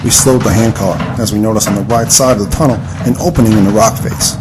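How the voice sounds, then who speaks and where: A man's voice: lowish voice, steady tone, fast talker, music in the background, natural voice. A man playing an earnest, folksy adventurer who tells his tale in an audio drama. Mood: narrating. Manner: earnest storytelling. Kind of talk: audio drama narration and acting.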